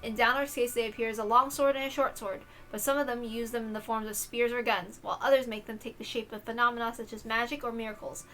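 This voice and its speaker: A woman with a bright, fairly high voice hosts a visual novel read-aloud. She reads the text expressively in a casual, homemade style. Faint music plays underneath. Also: fairly even intonation, quick pace, hardly any pauses. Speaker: reader and host.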